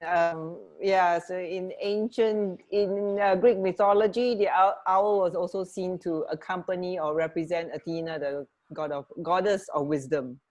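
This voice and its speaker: A woman co-hosting a radio show in casual chat, halting and often rephrasing mid-sentence. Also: lowish voice, fairly even intonation, a little mellow.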